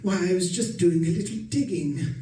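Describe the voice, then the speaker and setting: A man's voice: high voice, moderate pace, mellow, close to an everyday voice. A man playing a mild, put-upon middle-aged professor and husband in an old-time radio suspense play. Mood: mild, evasive. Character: meek, henpecked middle-aged professor husband, quietly resentful. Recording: roomy, distant mic.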